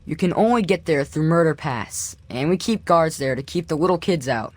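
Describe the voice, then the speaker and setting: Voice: mid-range voice, animated, moderate pace, a little mellow, no echo, lightly acted. An actor playing a cocky, scrappy boy shopkeeper in a video game, talking in a casual, slangy, streetwise way. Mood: cocky, curious. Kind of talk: video game character dialogue.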